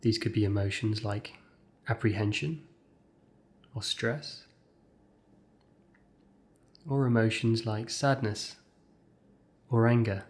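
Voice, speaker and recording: A man leading a guided meditation as a scripted exercise, speaking in measured short phrases with gentle pauses. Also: lowish voice.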